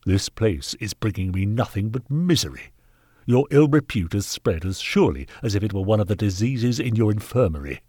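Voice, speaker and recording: A man's voice: on the low side, animated, normal speed, no room sound, studio quality. A man voicing a haughty, bullying Victorian knight in a storybook reading, pompous and scornful. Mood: cold, scornful. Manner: pompous, forceful, contemptuous. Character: haughty, callous, bullying Victorian gentleman knight, angry benefactor. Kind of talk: dramatised audiobook character reading.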